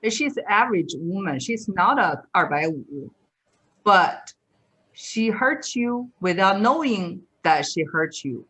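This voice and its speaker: A woman's voice: mid-range voice, animated, slow, a bit bright, rough recording. A woman from China, chatting reflectively as an interviewer. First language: Mandarin Chinese. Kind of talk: casual interview chat, switching between languages.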